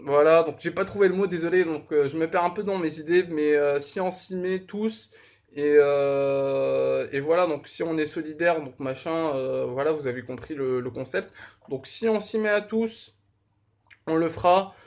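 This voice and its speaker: A young man from Morocco talks casually and openly about himself, often pausing and restarting his sentences. His voice sits a little on the high side. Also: darkish tone. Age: young adult. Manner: hesitant, many fillers and restarts; candid and self-deprecating. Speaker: fitness vlogger. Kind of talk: casual vlog talk.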